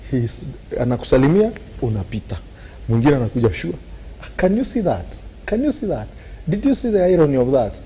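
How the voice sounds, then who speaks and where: A man's voice: mid-range voice, sing-song, fairly quick, mellow, slight background noise, rough recording. A man with a Kenyan accent, arguing animatedly on a talk show and acting out scenes with sound effects. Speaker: political commentator.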